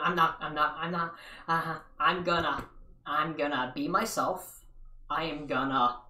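A young man talks slowly through a casual commentary video, wandering off into sarcastic asides. He sits back from a webcam in a small living room. The room is echoey and his voice sounds distant. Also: on the high side, bright, forceful tone. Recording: echoey room, mic far off.

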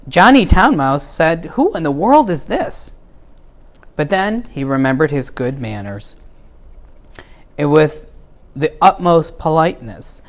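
A middle-aged man reads a children's story aloud in a measured, expressive way. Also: on the high side. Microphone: lapel mic.

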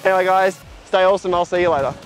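A young Australian man vlogging casually outdoors at the seaside, chatty and relaxed, filmed by a camera a few metres off. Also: high-pitched, rapid-fire, faint music behind, rough recording. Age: young adult. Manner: chatty, relaxed, filler-heavy, gestures while walking. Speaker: travel vlogger. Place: outdoors at a sunny seaside lookout, jetty and beach. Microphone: camera on a tripod a few metres away.

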